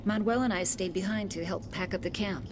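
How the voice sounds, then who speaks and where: A woman's voice: medium pitch, a little fast, a little hiss or hum, lightly acted. An actress playing an earnest, educated woman scientist who is shaken, in a video game. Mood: earnest, explaining. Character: shaken, educated female scientist leading an excavation.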